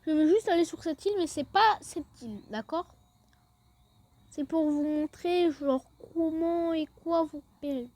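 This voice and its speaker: A woman's voice: high-pitched, a little slow, dark tone. A woman giving a casual, chatty step-by-step game walkthrough, often saying "then" as she moves to the next step. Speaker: gaming video creator.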